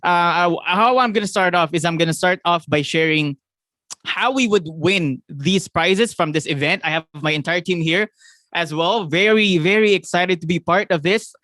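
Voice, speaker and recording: Excited Filipino-accented man, fairly high. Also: no room sound. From Philippines. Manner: energetic, fast, repeats "right?", laughs often. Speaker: network marketing team leader. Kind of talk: excited motivational talk on a video call.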